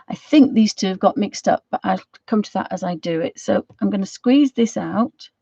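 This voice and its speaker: A middle-aged British woman with a slightly croaky voice, giving a casual craft tutorial in a rambling, homely way, thinking aloud as she goes. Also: medium pitch, darkish tone, lo-fi sound, slight background noise. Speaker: watercolour craft tutor hosting a live session.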